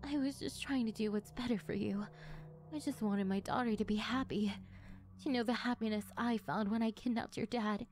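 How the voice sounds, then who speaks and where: A woman's voice: fairly high pitch, fairly even intonation, moderate pace, a little mellow, lightly acted. Woman playing a doting mother, coaxing, manipulative, sweetly unhinged, self-justifying, audio roleplay. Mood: hurt. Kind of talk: anime-style audio roleplay.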